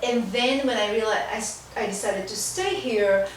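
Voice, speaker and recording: A woman's voice: on the high side, moderate pace. An Israeli-accented woman guesting on a homemade podcast, speaking with emphatic enthusiasm across a very reverberant room with a faint hiss. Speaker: podcast guest, life coach. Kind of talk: casual podcast interview. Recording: distant mic, very echoey room, faint noise.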